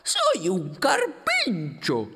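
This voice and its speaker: A woman voicing a chubby, excitable capybara who acts as a knowing river guide in a children's radio play, with a Rioplatense Argentine accent and a theatrical, exclamatory way of explaining. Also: mid-range voice, extremely slow, husky, exaggerated voice. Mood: exclaiming, amused. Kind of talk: children's radio drama acting.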